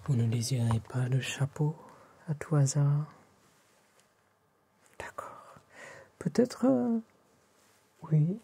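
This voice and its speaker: A man with a French accent whispers softly and breathily in an ASMR roleplay, addressing the listener politely and formally as an attentive tailor, close to the microphone. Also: a little high, very animated, slow, long pauses, slight background noise. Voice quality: soft, breathy whispering and hushed speech.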